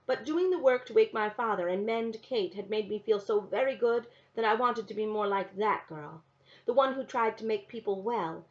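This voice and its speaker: Soft-spoken woman reading a novel aloud. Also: medium pitch, moderate pace. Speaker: teacher reading to a class. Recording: dull lo-fi recording.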